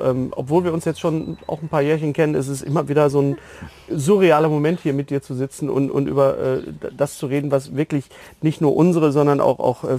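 A man from Westphalia hosting a casual interview, often halting and repeating words as he looks for the phrasing. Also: medium pitch, mellow, no room sound. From Westphalia, Germany. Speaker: podcast host. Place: outdoors in a garden.

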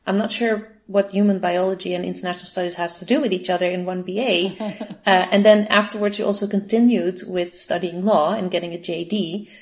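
A woman hosting an interview, friendly and quick to laugh as she asks questions. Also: mid-range voice, a little mellow. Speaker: interview host. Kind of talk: conversational interview.

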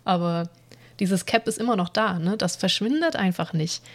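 A woman's voice: mid-range voice, normal speed. A woman co-hosting a casual podcast, chatting loosely with a self-deprecating, laughing manner. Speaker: podcast co-host.

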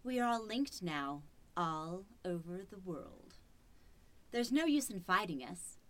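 A woman playing a sinister, controlling household robot in old-time radio horror style, deliberate and condescending. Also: lowish voice, big pitch swings. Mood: menacing, gloating.

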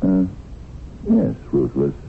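An actor in a radio drama playing a stuffy, shrewd businessman with a very deep, gravelly voice and a hesitant, stammering, formal manner. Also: wildly swooping pitch, slow pace, heavily acted. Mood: weary, defensive. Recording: tinny band-limited old radio broadcast, hissy.

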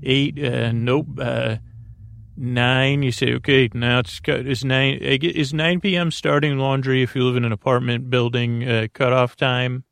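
A man hosting a bedtime podcast in a creaky, soothing voice, meandering hesitantly through absent-minded tangents. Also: mid-range voice, level tone, measured pace, a bit bright, no room sound, clean studio sound. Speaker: podcast host. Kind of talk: rambling bedtime sleep podcast monologue.

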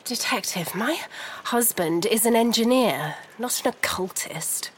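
A woman's voice: a little high, lively intonation, unhurried, slight background noise. An actress playing a refined, determined, anxious wife of a missing man in a 1930s noir audio drama, formal and articulate. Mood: worried, earnest. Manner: formal, articulate, clipped politeness. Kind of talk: scripted period noir audio drama acting.

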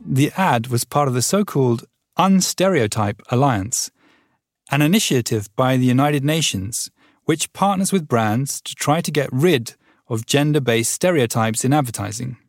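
A man's voice: mid-range voice, lively intonation, normal speed, no room sound, clean studio sound. A man hosting a podcast, narrating a scripted intro and then asking questions conversationally with fillers. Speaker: podcast host.

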